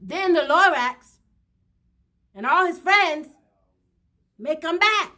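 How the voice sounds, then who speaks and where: Very high, bright woman reading to children. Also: lively intonation, takes it slowly. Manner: dramatic, with short phrases, addressing the children directly. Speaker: storyteller reading a picture book to children. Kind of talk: expressive read-aloud for children.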